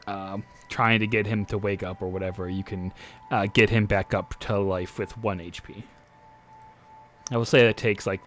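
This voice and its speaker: A man acting as game master, narrating the action and explaining rules in a casual tabletop game. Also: on the low side.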